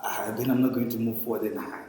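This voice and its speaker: A man's voice: medium pitch, little pitch movement, fast, mellow, roomy sound, in a large hall, slight background noise. A Zimbabwean man speaking as a guest on a talk show, mixing English and Shona and often restarting his sentences.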